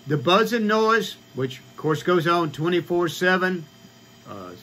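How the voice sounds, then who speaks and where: A man in his sixties with an American accent, explaining informally to camera in a halting, matter-of-fact way. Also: high-pitched, expressive, bright, forceful tone, slight background noise. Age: 60s. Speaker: ham radio vlogger. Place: small home radio room, indoors.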